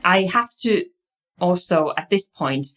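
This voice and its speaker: Low-voiced Finnish-accented woman lecturer. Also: expressive, a bit bright. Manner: thoughtful, often halting with fillers and restarts. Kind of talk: explaining lecture.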